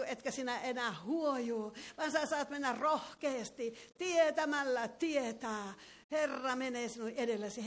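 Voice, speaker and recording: A woman leading a fervent charismatic prayer and prophecy in a meeting hall, breaking into tongues between phrases. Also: a little high. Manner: fervent, prays with tongues interjected. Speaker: preacher.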